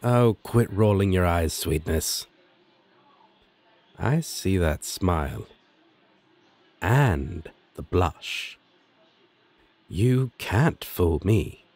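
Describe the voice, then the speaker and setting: Guy acting as chill flirty demon roommate for an audio roleplay, low husky voice, slow and teasing. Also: lively intonation, darkish tone, full character voice. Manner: teasing, casual, drawn-out delivery with dramatic swoops. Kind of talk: scripted audio roleplay addressed to listener.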